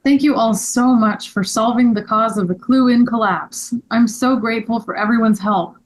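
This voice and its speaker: A woman's voice: a little high, normal speed, close to an everyday voice, rough recording. A woman playing an earnest, wronged male store owner in a scripted table read. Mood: grateful, weary.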